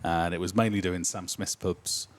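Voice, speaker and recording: Hesitant deep-voiced man. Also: fairly even intonation, normal speed. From Nottingham area, England. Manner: hesitant, many ums and uhs. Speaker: brewery owner and guest. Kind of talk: casual interview chat. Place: brewery.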